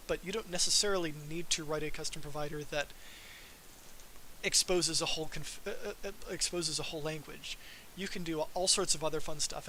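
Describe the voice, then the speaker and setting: A man giving an informal technical presentation on a livestream as a software developer, in a casual, self-deprecating manner. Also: fairly high pitch, poor audio. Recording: faint background hiss.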